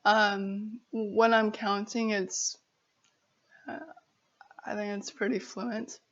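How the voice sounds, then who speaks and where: An American woman vlogger from Arizona with a stutter, chatting casually to camera in a halting, apologetic, giggly way. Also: mid-range voice, flat delivery, fairly bright tone, muffled recording. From Arizona, United States.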